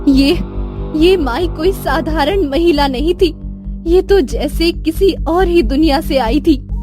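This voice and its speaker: Woman voicing an anxious, high-voiced village wife. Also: takes it slowly, over music. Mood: awed. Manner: pleading, hesitant.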